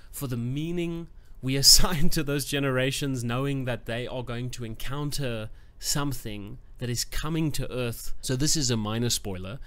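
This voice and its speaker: Man, book reviewer, mid-pitched, musing, then eager. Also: a bit bright. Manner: thoughtful, searching for words, then enthusiastic. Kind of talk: casual book review to camera.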